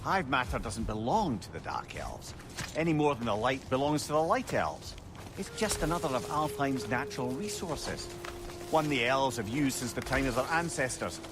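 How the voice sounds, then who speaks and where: An actor playing a wry, chatty, wise old companion in a video game, eloquent and expressive, with a Scottish accent. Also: male voice, mid-range voice, moderate pace, music in the background, lightly acted. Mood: knowledgeable. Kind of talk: video game dramatic dialogue.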